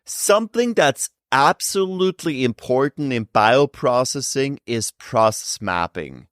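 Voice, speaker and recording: A man hosting an interview podcast, asking deliberate questions. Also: mid-range voice, expressive, no echo, clean studio sound. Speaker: podcast host.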